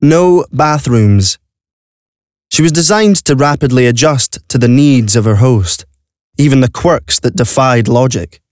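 A man's voice: medium pitch, a little slow, a little mellow, dry recording. Man narrating, dry studio sound. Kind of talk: scripted story narration. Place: recording studio.

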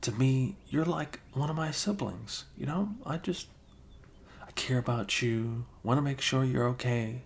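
A man playing a flustered, caring young male best friend with a crush, speaking haltingly in an improvised ASMR roleplay. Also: medium pitch, creaky. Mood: bashful, tender. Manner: halting, bashful, chuckling, casual bro talk.

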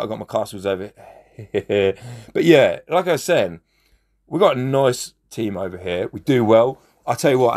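A man with a hoarse, fading voice, chatting casually as he comments on a mobile strategy game and gives friendly shout-outs. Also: lowish voice. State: losing his voice, hoarse. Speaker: gaming channel host.

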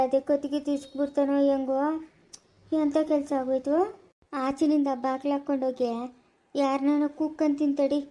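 A woman's voice: sits high, close to an everyday voice. A woman or girl voicing a fussy, hard-of-hearing old grandmother who frets and calls out. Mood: alarmed. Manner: fretful, scolding, calling out loudly.

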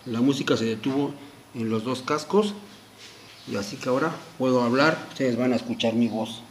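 Deliberate man giving a hands-on tutorial. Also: medium pitch, noisy background. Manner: deliberate, step-by-step explaining in short phrases. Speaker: product demonstrator, host. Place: small indoor room at home.

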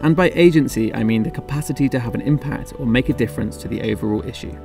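A man narrating his travel vlog in a measured, reflective scripted voice-over. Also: medium pitch, vocal fry, darkish tone, faint music behind. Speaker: travel vlogger.

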